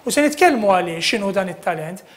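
A man's voice: high-pitched, expressive, fast talker. A man in his thirties with a Maltese accent, hosting a TV current affairs show in a studio, switching between Maltese and simple English and repeating his guest's answers. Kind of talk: studio interview.

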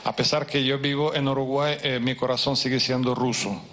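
Brief: male consecutive interpreter, hesitant delivery, mid pitch, faint hall hum. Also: flat delivery, fairly quick, a bit bright. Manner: hesitant, with fillers and restarts.

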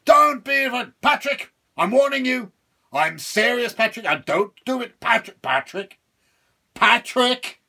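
A man voicing a small male devil who is trapped in a box, pleading and shouting in a high voice. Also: lively intonation, unhurried. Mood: frantic. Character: shrill trapped male devil, wheedling and frantic.

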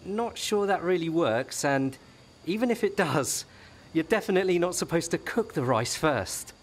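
An actor playing a young adult son in a radio soap, blunt, teasing and quick to bristle. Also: male voice, a little high, expressive.

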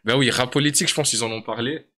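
A man from Montreal with a Montreal French accent, hosting a casual livestream interview in slangy, emphatic talk from a small dark room. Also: medium pitch, fast. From Montreal, Canada. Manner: slangy, emphatic, calls the guest "mon gars". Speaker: streamer host interviewing a guest.

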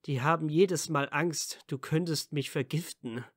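A man's voice: a little high, a little slow, husky, no room sound, clean studio sound. A man playing a playful, sulky teenage wizard boy in a fan audio story, bantering and mock-offended. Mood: playful teasing. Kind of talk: fan audiobook character acting.

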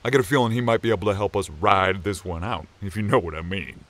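A man's voice: deep voice, quick pace, a bit bright. A man playing a giant, boastful and folksy lumberjack in an audio drama, with a hearty, theatrical manner. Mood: hearty, exasperated.

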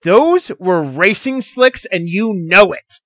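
A man's voice: on the high side, lively intonation, normal speed, pushed and bright, no echo. A man voicing a hot-headed, snarky race driver in a narrated story, with a snappish, defiant manner. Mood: angry. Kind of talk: acted character in narrated fan story.

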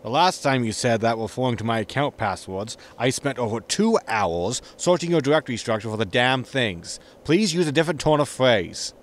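A man's voice: mid-range voice, expressive, normal speed, hardly any pauses, natural voice. Man acting a sarcastic spaceship crewman, echoey. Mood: exasperated, sarcastic. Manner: sarcastic, exasperated. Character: grumbling, money-minded, sarcastic spaceship crewman. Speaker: actor in an audio drama. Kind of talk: sitcom audio drama acting. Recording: roomy echo with noisy ambience.

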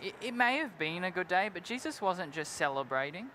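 A man's voice: sits high, sing-song, brisk, sharp tone. Young guy cohost, headset mic, big hall, upbeat earnest bible talk, a little noise behind. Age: young adult. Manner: earnest, upbeat, drops in "like" and "right?". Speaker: co-host of a youth Bible panel. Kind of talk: live devotional discussion. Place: large indoor convention hall stage with crowd.

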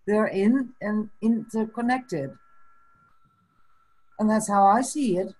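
Woman, fifties, Dutch accent, Frisian native, slow deliberate speech, breathy tone, webcam in small room. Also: mid-range voice, darkish tone, rough recording. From Netherlands. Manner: slow and thoughtful, breaks into small laughs. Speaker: guest. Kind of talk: casual chat. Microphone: video call webcam.

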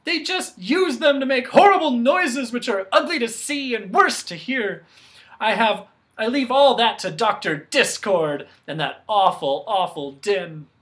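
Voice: mid-range voice, sing-song, unhurried, fairly bright tone, voice pushed up. A storyteller voicing a stern, bossy woman who keeps sounds, speaking in a commanding, scolding way.

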